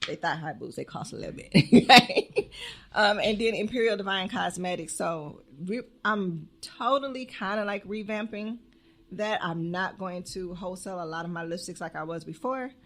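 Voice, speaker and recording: A woman's voice: medium pitch, normal speed, fairly bright tone. A woman, chatting casually on a podcast about her businesses, laughing often. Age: 30s. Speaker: podcast co-host and business owner.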